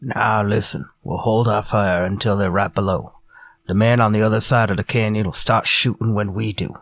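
An actor playing a ruthless, menacing outlaw gang boss in a radio western, with a gravelly, raspy voice and commanding manner. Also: male voice, lowish voice, steady tone, normal speed. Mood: cold, menacing. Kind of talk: old-time radio western acting.

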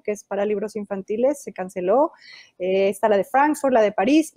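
Lively Mexican woman on a video call. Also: a little high, dry recording, clean studio sound. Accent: Mexican Spanish. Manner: animated, gestures while talking. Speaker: illustrator and children's author. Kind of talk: interview over video call. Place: indoor room at home. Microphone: earphone headset mic on a video call.

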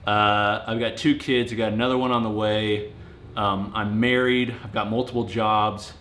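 A man's voice: lowish voice, flat delivery, moderate pace, a bit bright. Earnest young man in an echoey garage gym. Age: 20s. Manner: earnest, plainspoken, with fillers and restarts. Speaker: home gym reviewer. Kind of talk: casual vlog talk to camera. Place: home garage gym, indoors. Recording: roomy garage echo, faint noise.

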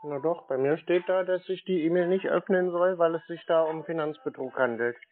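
Man, slightly high voice, casual, questioning, feigned cluelessness, phone-quality sound. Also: brisk. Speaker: scam-baiting prank callee posing as customer. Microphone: phone call. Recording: muffled narrow-band call audio.

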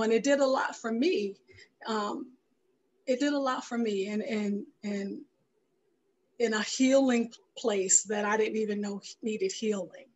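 Middle-aged woman, interview guest. Mid-range voice, reflective, unhurried delivery with pauses inside sentences. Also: medium pitch, a little hiss or hum, low-quality recording. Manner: thoughtful, unhurried, pausing mid-phrase. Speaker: interview guest, filmmaker and radio show creator. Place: indoor room, video call. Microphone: headset on video call.

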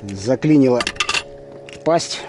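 A middle-aged man calmly commenting to himself while fishing from a small inflatable boat, picked up by an action camera outdoors. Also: medium pitch. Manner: calm, unhurried, talking to himself between casts. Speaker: fishing vlogger. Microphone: action camera on the boat and chest. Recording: outdoor recording with wind and water noise.

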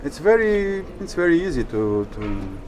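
A man interviewing inside a small caravan at a trade fair, speaking haltingly with many fillers and non-native phrasing. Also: on the high side, sing-song, darkish tone, soft background music. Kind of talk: casual walkthrough interview.

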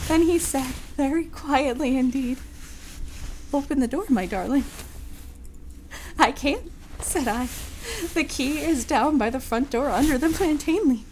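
An actress plays a woman losing her mind in confinement, in a very high voice. She confides in the listener theatrically, with secretive, childlike obsession, as in an audio drama monologue. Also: a little hiss or hum. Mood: eerily gentle.

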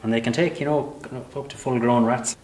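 A man's voice: mid-range voice, fairly even intonation, fairly quick, darkish tone. A man in his thirties with an Irish accent, explaining barn owls in a chatty way, full of "like, you know". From Ireland. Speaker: barn owl expert. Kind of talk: explaining while handling birds. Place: inside a large open farm shed.

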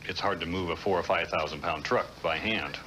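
A man's voice: deep voice, brisk, background noise. A middle-aged man with an American accent, a firefighter, recalling events in an interview. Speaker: firefighter captain. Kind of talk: interview recollection.

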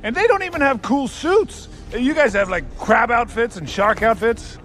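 An actor playing a vain, volatile cartoon villain warlord, sarcastic and swinging from casual chat to outrage. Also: male voice, fairly low pitch, sing-song, quick pace, gravelly, a bit bright, soft background music, heavily acted. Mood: exasperated, outraged. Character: theatrical four-armed male villain warlord, vain and volatile. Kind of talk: comedic animated film acting.

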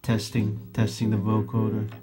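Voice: mid-range voice, monotone, normal speed. A vocoder-processed robotic voice of unclear sex repeating short mic-check test phrases as a synthesizer demo. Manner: repetitive mic-check delivery. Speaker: musician demonstrating a synthesizer. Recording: synthesizer tones over the voice. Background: synthesizer keyboard playing.

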